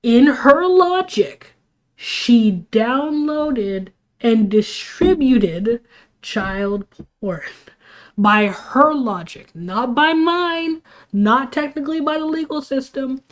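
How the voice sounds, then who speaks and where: A woman's voice: high-pitched, animated, slow, low-quality recording. A young woman, sick and sniffling, ranting on a casual livestream with heated, rambling talk full of restarts and fillers. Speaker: streamer.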